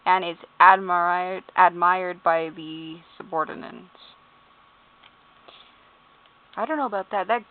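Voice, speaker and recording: A woman sits at home reading out clumsy character bios, spelling names letter by letter and laughing at the wording. Her voice sits a little low. The sound is narrow and tinny, like a phone line. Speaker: YouTuber. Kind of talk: casual commentary reading game character bios.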